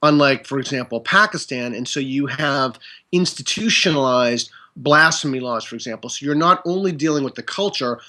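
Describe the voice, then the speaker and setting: A man speaking as a guest in a conversation. He talks in a measured way, hedges his claims and reaches for academic words. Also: mid-range voice, sharp tone. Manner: measured, hedging, academic wording. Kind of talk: casual conversation.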